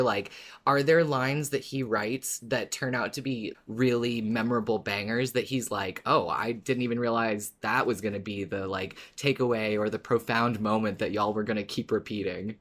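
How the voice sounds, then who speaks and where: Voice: medium pitch, fairly bright tone. A podcast co-host talking informally and expressively about a story, as a fan would. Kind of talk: casual fan discussion, partly reading a written recap.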